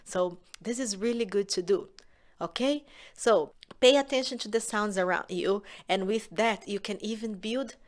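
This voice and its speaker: A woman from Brazil with a Brazilian Portuguese accent, teaching a music lesson to children in a gentle, encouraging way that often drops to a soft whisper. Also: mid-range voice, moderate pace.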